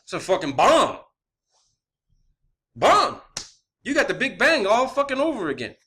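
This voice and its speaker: A man's voice: sits high, very animated, quick pace, fairly bright tone. A man giving an improvised, emphatic and profane monologue as an amateur explainer, repeating words for stress and checking his points with questioning tags. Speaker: amateur science vlogger.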